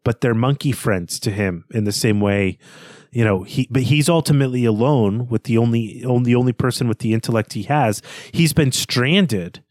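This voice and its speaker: Man in earnest, halting literary discussion, dry sound. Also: mid-range voice, a little mellow, dry recording. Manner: halting, repeats and rephrases words. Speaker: podcast co-host.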